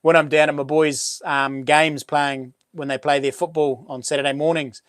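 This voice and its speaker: A middle-aged man with a New Zealand accent and a boomy voice, hosting a relaxed livestream chat, rambling with many ums and restarts as he reads out viewer comments. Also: normal speed, dry recording, clean studio sound. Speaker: livestream host. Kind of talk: casual live chat reading comments.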